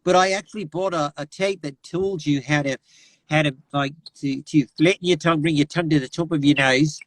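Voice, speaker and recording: A middle-aged man, a voice actor, telling lively anecdotes over a remote call and slipping into character voices. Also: on the high side, brisk, no room sound, low-quality recording. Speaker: voice actor guest. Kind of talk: animated anecdotal storytelling.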